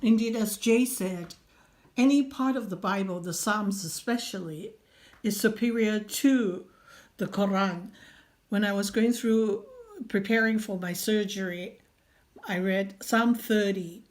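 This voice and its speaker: A woman reading scripture aloud in a measured, earnest way. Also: medium pitch.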